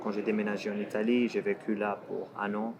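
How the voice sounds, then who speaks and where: Portuguese guy, lowish voice, chatting in french he's still learning, keeps hunting for words. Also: flat delivery, a little fast, vocal fry, a crowd in the background. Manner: searching for words, frequent restarts. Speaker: guest, language youtuber. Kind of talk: casual conversation in a learned language. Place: outdoors in a city.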